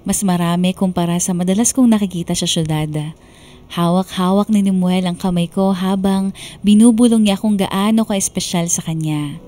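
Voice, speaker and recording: A woman's voice: on the low side, steady tone, normal speed, warm tone, lightly acted. A woman voicing a sentimental young woman narrating her love story in a Filipino radio drama. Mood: smitten, sentimental. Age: young adult. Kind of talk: scripted dramatic first-person narration.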